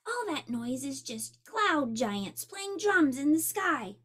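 A woman's voice: high voice, big pitch swings, slow pace, a bit bright, exaggerated voice. A woman doing a playful young hawk. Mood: soothing. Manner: soothing, playful. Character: playful young hawk, tells tall tales.

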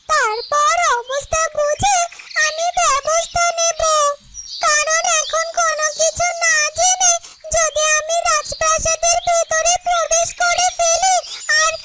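Tiny, very high-pitched cartoon bird. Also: female voice. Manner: slow, deliberate, thinking aloud. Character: small cautious yellow bird, talking to itself. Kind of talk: Bengali cartoon fairytale dialogue.

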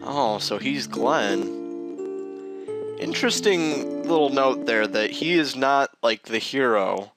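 A man's voice: mid-range voice, expressive, slow pace. A man giving joking, rambling commentary while playing a video game. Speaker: let's play host. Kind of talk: casual gaming commentary. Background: game music.

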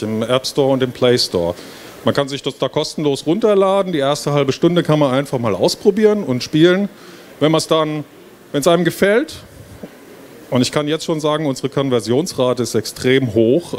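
A middle-aged man, a university lecturer, giving an informal explanatory presentation into a handheld microphone on a stage. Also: medium pitch. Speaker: university professor presenting a project. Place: stage in a book fair hall before a small audience. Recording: steady trade-fair hall noise.

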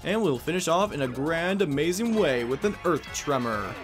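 A man's voice: a little high, lively intonation, moderate pace. A man giving casual gameplay commentary as the host of a let's play. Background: game music.

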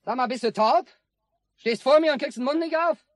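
Bossy, high-pitched schoolmaster sergeant. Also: male voice, a little fast, no echo, studio quality. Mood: irate, commanding. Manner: curt, officious. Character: bossy village schoolmaster playing sergeant, barking orders. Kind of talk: 1950s German radio drama acting.

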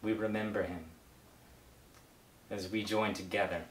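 A man with a lowish voice speaks a pastoral prayer slowly and solemnly. He leaves space between phrases. The room echoes around him, with some low rumbling noise. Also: level tone. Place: book-lined study indoors.

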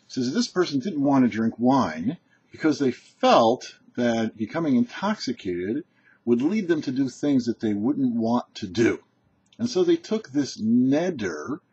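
An older man, a rabbi in his sixties, giving a short, deliberate religious lesson in an explanatory, didactic way. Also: medium pitch, a little mellow, breathy edge. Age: older, about 60s. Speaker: rabbi hosting a weekly Torah portion show. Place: indoor home office or study. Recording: muffled, dull webcam audio.

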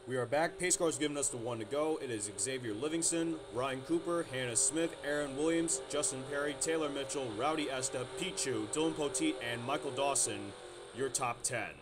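Male amateur race caller, mid-range voice, excitable and rough-edged delivery, faint noise floor. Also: mid-range voice, a little fast. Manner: excitable, restarts sentences with fillers. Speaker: race commentator. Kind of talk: amateur sim racing play-by-play commentary.

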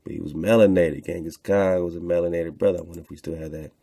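Very deep-voiced man, gravelly, rambling casually. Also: flat delivery, fast talker, warm tone. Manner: rambling, repeats phrases, slangy "man" and "you know". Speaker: host of an online show. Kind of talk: casual unscripted commentary while browsing on screen.

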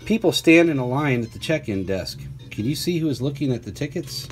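Casting: male storyteller, mid-pitched, deliberate children's read-aloud with chatty asides and playful questions, music underneath. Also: lively intonation. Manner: clear, deliberate reading with playful questions to young listeners. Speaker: teacher reading a picture book aloud.

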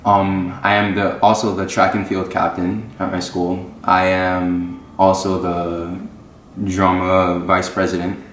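A teenage boy from Miami introducing himself for an audition, in a measured, steady way. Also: low voice, roomy sound, slight background noise. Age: teens. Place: living room with bookshelves.

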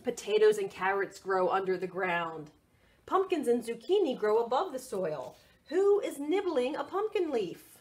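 Female children's librarian for a picture-book read-aloud: higher register, highly animated, expressive, prompts little listeners with questions. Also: measured pace.

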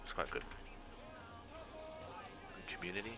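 A man chatting casually as he streams a video game. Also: measured pace. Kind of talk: casual gaming chat. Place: indoors, voice over gameplay. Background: music.

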